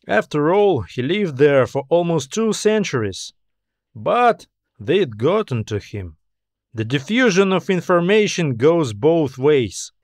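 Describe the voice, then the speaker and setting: A man narrating a science fiction story as a scripted audiobook, in a measured storytelling manner with phrases that trail off. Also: fairly high pitch, no echo, studio quality.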